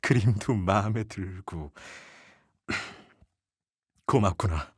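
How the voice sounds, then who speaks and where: A man playing an amused, indulgent Joseon nobleman in a historical roleplay ASMR, with archaic, gently commanding, teasing speech. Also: lowish voice, drawn-out words, husky, dry recording, studio quality, full character voice. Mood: touched, tender. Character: wealthy Joseon nobleman, amused, indulgent patron of a young gisaeng. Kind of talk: Korean historical roleplay ASMR audio drama. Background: traditional Korean court music.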